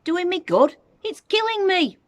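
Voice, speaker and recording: A woman reading a children's storybook, voicing a cantankerous, wild old grandmother who yells excitably. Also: very high voice, unhurried, no echo, voice pushed up, exaggerated voice. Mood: screaming protest. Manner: yelling, excitable, exclamatory.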